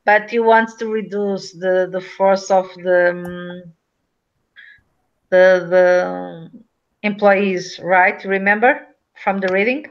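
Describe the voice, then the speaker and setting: Halting Portuguese-accented young woman, slow. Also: mid-range voice, steady tone. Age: young adult. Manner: halting, searching for words, apologetic. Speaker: student in a discussion group. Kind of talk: casual class discussion. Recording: dull lo-fi audio.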